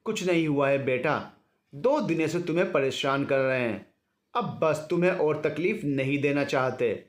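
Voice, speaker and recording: An elderly husband, acted out by a male storyteller, anxious and affectionate. The voice is fairly high and animated, with a tender, hesitant manner fit for a family audio story. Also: normal speed, close to an everyday voice.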